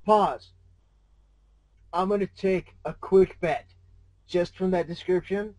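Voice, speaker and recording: A man giving casual, expressive commentary as a co-host reacting to a story. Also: high voice, takes it slowly, no room sound.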